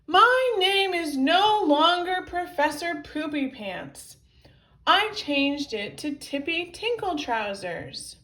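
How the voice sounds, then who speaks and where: A woman voicing a pompous, furious male professor villain in a children's audiobook, declaiming loudly and indignantly. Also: very high voice, very animated, takes it slowly, voice pushed up, exaggerated voice.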